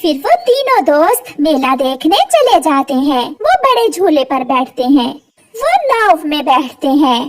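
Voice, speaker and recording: A woman narrating a children's story in an animated storybook style. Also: sits high, measured pace.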